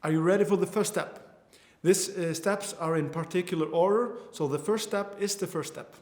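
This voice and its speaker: A man's voice: sits high, moderate pace, a little mellow, echoey room. A man in his twenties from Iceland with an Icelandic accent, teaching an online course earnestly, often restarting his sentences. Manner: earnest, with frequent restarts and fillers. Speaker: course instructor.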